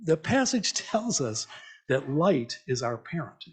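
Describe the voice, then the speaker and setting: A man with a slightly high voice speaks as a lay preacher. He is reflective and conversational, inviting the congregation to think along with questions and short comments. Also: sing-song, unhurried, breathy edge. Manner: conversational, reflective, repeats listeners' answers back. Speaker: lay preacher giving an interactive church message. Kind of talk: interactive sermon, asking the congregation questions. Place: church meeting, speaking to a congregation.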